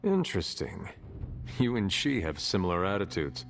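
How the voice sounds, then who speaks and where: A stoic game warrior from another age, with a low voice that moves widely in pitch. His speech is formal and stiff, with music playing behind him. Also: very animated, moderate pace. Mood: reserved, curious. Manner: stiff, formal, avoids contractions. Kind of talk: video game cutscene acting.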